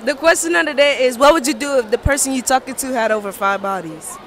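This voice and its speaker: Teen girl vlogger, high bright voice, teasing street interviewer, crowd behind. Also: fast talker. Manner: teasing, brash, laughs often. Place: outdoor school courtyard. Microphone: handheld lavalier mic held out to guests.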